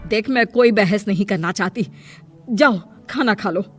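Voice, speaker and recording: A woman's voice: mid-range voice, slightly rough, faint music behind. A woman voicing a stern, sarcastic older mother-in-law in a cartoon story, scolding and bossy about her household. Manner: scolding, bossy, drawn-out address.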